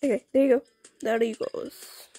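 Young girl vlogger, high voice, chatty and informal, bit of music behind her. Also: fairly even intonation, normal speed, mellow, slightly rough. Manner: chatty, informal, self-interrupting. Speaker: video creator. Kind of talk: casual game house-tour voice-over.

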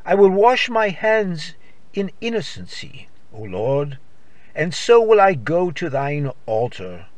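A man reciting scripture in a solemn, dramatic style, with declamatory and deliberate phrasing, as the reader of a worship service. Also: a little high, sing-song, no echo.

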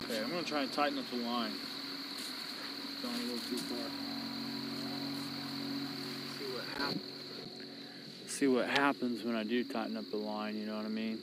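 A man's voice: medium pitch, moderate pace, long pauses, very noisy. A young man hosting a fishing vlog from a riverbank, talking casually to the camera as he reels in fish. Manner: relaxed, thinking aloud while reeling in fish. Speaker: fishing channel host. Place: riverbank outdoors, day into night. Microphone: action camera on the body.

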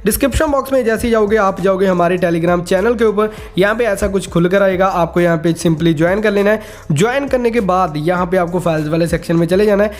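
A man's voice: high-pitched, brisk, soft background music. A man hosting a casual step-by-step tech tutorial, mixing English words and stock phrases into his speech.

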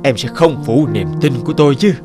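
An actor playing a kind, patient, firm elderly male teacher in an animated drama. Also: mid-range voice, a little fast, over music, close to an everyday voice. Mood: earnest, encouraging.

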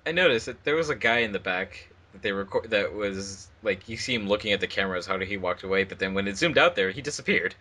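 A man's voice: on the low side, animated, quick pace, few pauses, sharp tone. A man chatting casually as he gives commentary along with a video. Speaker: fandub team member.

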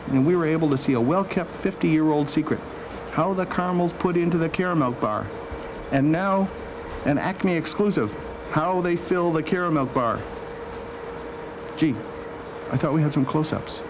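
A man narrating a scripted documentary as host, with a wry, deadpan sense of humor. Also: a little high. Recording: dull old video soundtrack with machinery noise.

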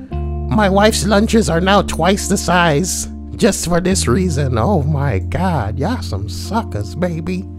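A man puts on a brash, lewd schoolboy who reads forum posts for comedy. He talks slowly and drawls slangy, mocking asides. Music plays clearly behind the voice. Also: high-pitched. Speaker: host reading forum posts. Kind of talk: comedic reading with crude commentary.

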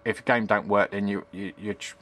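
Man, middle-aged, lowish pitch, hesitant, rambling, casual homemade demo, talking behind a handheld camera. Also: fairly even intonation, fairly quick, fairly bright tone. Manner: rambling, hesitant, repeats himself with trailing tags like "I am", "it is". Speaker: hobbyist demonstrating retro computing. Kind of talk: casual unscripted tutorial. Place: indoors at a home computer desk. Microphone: handheld camera's built-in microphone.

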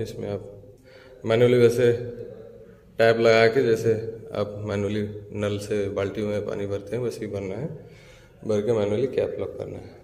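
A man's voice: lowish voice, darkish tone. A man from India walking through a large indoor factory with a handheld phone, explaining each machine of a bottling plant step by step. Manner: explanatory, points things out step by step to the viewer. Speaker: machine maker's representative. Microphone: handheld phone camera while walking.

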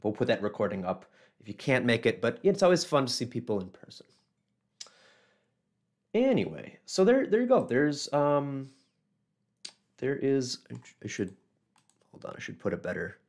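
A man in his thirties with a hoarse, scratchy voice from a cold, hosting a casual local history livestream from a small office on a webcam mic, rambling with many ums and restarts. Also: medium pitch, big pitch swings, moderate pace, pauses often.

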